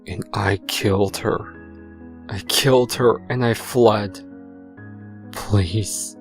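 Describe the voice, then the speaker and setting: A man playing a guilt-ridden stallion confessing in prayer, in a sombre, measured, remorseful way for a dramatic fan fiction reading. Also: fairly low pitch. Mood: remorseful, weary.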